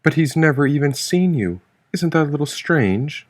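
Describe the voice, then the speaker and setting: A man's voice: a little high, expressive, normal speed, voice pushed up, close to an everyday voice. A man voicing an anxious, disbelieving young worker with an urgent, questioning way of talking, as an audiobook character. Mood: bewildered.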